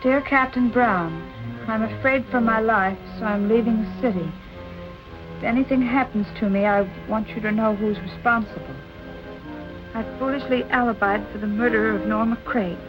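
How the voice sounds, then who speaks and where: An actress playing an earnest, brave young heroine in the polite, clipped manner of 1940s film noir. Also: female voice, fairly high pitch, normal speed, highs cut off, close to an everyday voice. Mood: earnest, uneasy. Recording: vintage film soundtrack over music.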